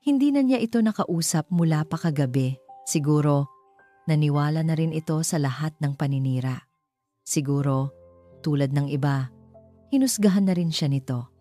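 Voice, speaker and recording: A woman's voice: deep voice, lively intonation, normal speed, pauses often, mellow, faint music behind. A woman with a Filipino accent reading a dramatic romance story as a scripted narration.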